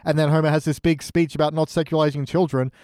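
Exasperated higher-voiced man in podcast chat. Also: little pitch movement, fast, darkish tone, dry recording, studio quality. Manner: exasperated, incredulous, blunt. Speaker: podcast guest. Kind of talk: casual review chat.

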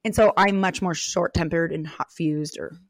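A woman's voice: on the low side, normal speed, no echo. A middle-aged woman co-hosting a podcast, talking candidly and casually, acting out bits of remembered conversation as she goes. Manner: candid, animated, quotes imagined dialogue. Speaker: podcast co-host.

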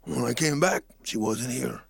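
A man's voice: mid-range voice, normal speed, vocal fry, slightly rough, dry recording, studio quality, voice pushed down. A man playing a sleepy, sorrowful old king. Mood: mournful. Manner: drowsy, mournful, rambling.

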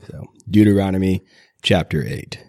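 Very deep-voiced man with a cold. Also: normal speed, dry recording, clean studio sound. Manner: steady reading with self-corrections. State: recovering from a cold. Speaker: podcast host reading scripture. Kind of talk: scripture reading.

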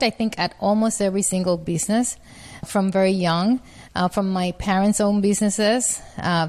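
A middle-aged woman from Puerto Rico, a physician guest answering interview questions, laughing easily and repeating words as she thinks. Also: fairly low pitch.

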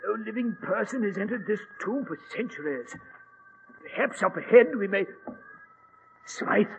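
A male actor playing an eminent, bold British archaeologist in a 1940s radio drama, commanding and excitable. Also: high voice, a little slow, creaky, full character voice. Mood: brusque. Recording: noisy, tinny old radio recording.

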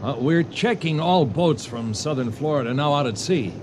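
A man's voice: on the high side, animated, moderate pace, lightly acted. An actor playing a blunt, earnest junior Coast Guardsman in a radio drama. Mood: businesslike. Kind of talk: 1980 radio drama acting. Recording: noisy radio drama with outdoor ambience.

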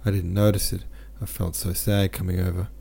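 A man with a deep voice reading the lines of a sad, gentle young merchant from a novel. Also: level tone, fairly quick, gravelly, breathy edge, exaggerated voice. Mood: heavy-hearted. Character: young male merchant, heavy-hearted and gentle.

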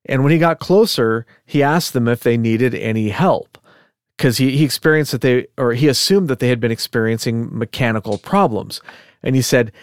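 A man's voice: medium pitch, normal speed, smooth, dry recording, clean studio sound. Man casually retelling a story. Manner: chatty, relaxed, thinks aloud with fillers and asides. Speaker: podcast co-host.

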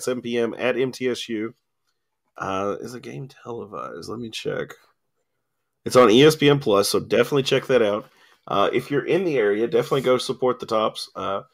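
A man talks through college sports results on a studio radio mic, rambling and filling pauses with ums. His voice has a gravelly edge. He sounds like he is starting to lose it. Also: medium pitch. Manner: rambling, lots of ums and filler. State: losing his voice, coughing. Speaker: sports talk host. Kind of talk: casual sports radio chat. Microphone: studio mic with cough button.

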